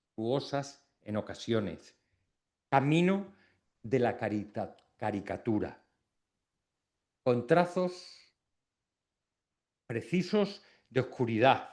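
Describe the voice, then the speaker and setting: A middle-aged man from Extremadura giving a formal academic induction speech, reflective, with pauses between phrases. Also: mid-range voice, darkish tone. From Extremadura, Spain.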